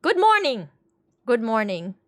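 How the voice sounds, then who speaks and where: Chatty, higher-voiced woman streamer. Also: very animated, unhurried, no room sound, clean studio sound. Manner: chatty, rambling, slangy, repeats phrases. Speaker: game livestreamer. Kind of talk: casual stream chat with viewers.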